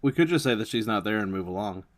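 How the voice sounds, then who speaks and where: A man's voice: on the low side, animated, fast. A man talking casually as a player in a tabletop role-playing game.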